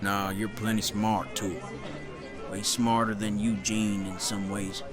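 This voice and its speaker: Man acting a gruff, muttering survivor, low monotone. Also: slow, faint music behind. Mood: gruff, warm. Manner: muttering, terse, informal. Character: gruff, laconic survivor with a soft side. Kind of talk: fan audio drama acting.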